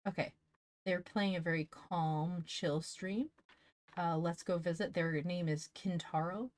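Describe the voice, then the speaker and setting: A woman hosting a livestream, chatting casually and calmly with her viewers as if greeting a class. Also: on the low side, unhurried, no room sound. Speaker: livestream host reading stories aloud.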